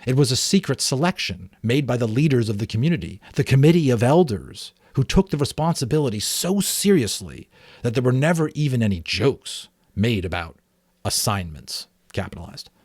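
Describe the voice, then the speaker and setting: A man reading a novel aloud as a dramatic audiobook, expressive, with offhand asides. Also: medium pitch, normal speed, slightly rough, no room sound, studio quality. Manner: expressive storytelling. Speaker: amateur audiobook reader.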